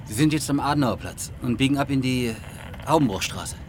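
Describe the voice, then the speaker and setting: An actor playing a matter-of-fact, precise police surveillance technician in a German radio crime drama. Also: male voice, mid-range voice, expressive, a little fast, background noise, close to an everyday voice.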